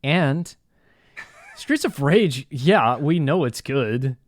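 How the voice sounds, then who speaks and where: A man of middle pitch bantering on a podcast, his voice swinging up and down widely as he teases the others with mock indignation. The recording is close and dry. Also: extremely slow, dry recording. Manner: animated, teasing and mock-indignant. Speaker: podcast co-host.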